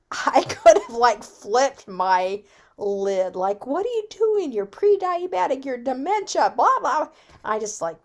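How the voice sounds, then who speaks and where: A woman giving an informal personal talk as a guest speaker, candid in her manner. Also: mid-range voice. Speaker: guest speaker, wellness business owner.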